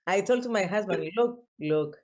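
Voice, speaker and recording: A woman hosting a podcast interview over a video call, speaking simply and warmly with non-native phrasing. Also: mid-range voice, animated, normal speed. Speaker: podcast host.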